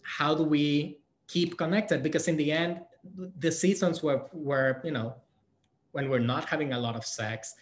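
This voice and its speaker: A man from Guatemala answering audience questions at a conference session, thoughtful and halting, with many fillers and restarts. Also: a little high, steady tone, slight background noise.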